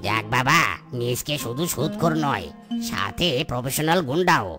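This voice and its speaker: Woman as cartoon farmer creature, low voice, weary, grumbling, gossipy, music behind. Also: lively intonation, normal speed. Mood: wary, mocking. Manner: grumbling, muttering commentary. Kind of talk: comedy cartoon monologue.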